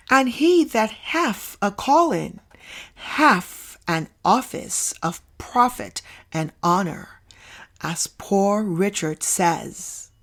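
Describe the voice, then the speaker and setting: A woman narrating an old essay as a scripted audiobook, with deliberate, emphatic phrasing and frequent pauses. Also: medium pitch, a bit bright. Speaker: narrator reading an essay aloud.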